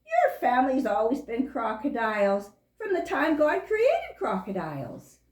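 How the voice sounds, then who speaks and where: A woman's voice: on the high side, huge pitch swings, measured pace, darkish tone. A woman voicing a friendly, chatty young female skunk in a children's puppet show, earnest as she explains things. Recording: roomy, echoing room sound.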